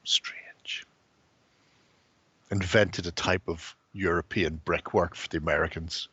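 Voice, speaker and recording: A man's voice: low voice, steady tone, slow, pushed and bright, breathy edge, no room sound. A middle-aged man co-hosting a casual hobby chat, dry and sardonic, on a headset at home. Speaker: co-host. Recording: dull lo-fi stream audio.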